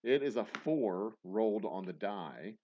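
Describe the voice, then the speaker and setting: A man with a fairly low voice hosts a casual trivia night on a stream, his pitch swinging widely as he rambles and jokes at his own expense. The audio is a bit dull and lo-fi. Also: animated, unhurried. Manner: rambling, self-mocking jokes, frequent restarts. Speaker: host reading trivia questions on a livestream. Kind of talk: casual live-stream banter.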